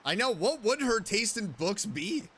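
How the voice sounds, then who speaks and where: A man's voice: sits high, very animated, a little slow, sharp tone, breathy edge. A man streaming a game and reviewing sponsored snacks in an upbeat, chatty way. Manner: upbeat and chatty, reading ad copy in between. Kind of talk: casual gameplay commentary and sponsored snack review.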